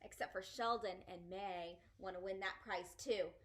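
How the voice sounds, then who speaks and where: A woman's voice: medium pitch, steady tone, moderate pace, a bit bright, pitched below the performer's own voice, natural voice. A woman voicing an exuberant, chatty little girl from a storybook, with emphatic, childlike phrasing. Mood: excited, worried.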